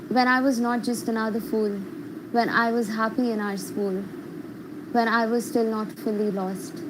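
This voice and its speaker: A woman's voice: mid-range voice, background noise. A woman reading her own poetry aloud on an online call. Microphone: video call.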